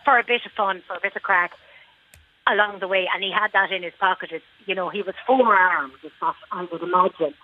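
A woman's voice: on the low side, fairly quick. An Irish woman who is a golf club lady captain, talking chattily and emphatically on a radio phone-in and repeating her points. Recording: dull phone-line sound.

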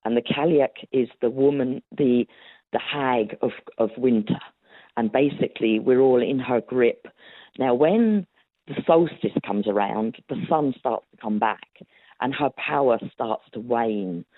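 A woman's voice: very deep voice, measured pace, dry recording. A woman historian answering interview questions in a chatty storytelling way, laughing often and using plenty of fillers. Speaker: historian guest. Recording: tinny phone-like line.

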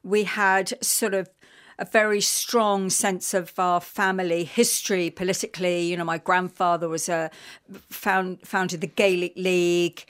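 A woman from London with an English accent, a guest chatting frankly and quickly in a radio interview. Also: fairly low pitch, little pitch movement, clear, resonant tone. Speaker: guest performer. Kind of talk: casual interview chat.

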